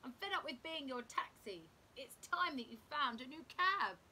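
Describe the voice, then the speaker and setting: A grumpy little storybook crab acted out by a woman, high and forceful. He is possessive and bossy, punching out his words with lively swings. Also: moderate pace, a little hiss or hum, exaggerated voice. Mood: fed up. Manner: bossy, emphatic.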